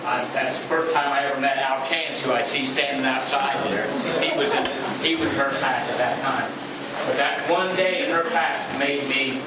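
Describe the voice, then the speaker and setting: Heavy background noise, strong echo, older man, medium pitch, casual reminiscence. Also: moderate pace. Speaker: relative sharing a memory. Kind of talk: informal reminiscence. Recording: very echoey, noisy, distant microphone.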